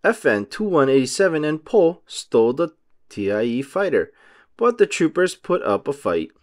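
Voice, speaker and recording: A man narrating a children's storybook aloud, phrasing each short sentence deliberately for young listeners. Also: a little high, big pitch swings.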